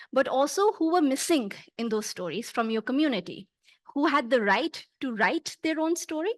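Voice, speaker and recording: A middle-aged Pakistani woman with a high, light voice, speaking English as a second language after Punjabi. She delivers a prepared conference talk in a measured, earnest way, pausing on small fillers. The sound is close and dry, with almost no room around her. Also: fairly bright tone, no room sound. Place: conference hall.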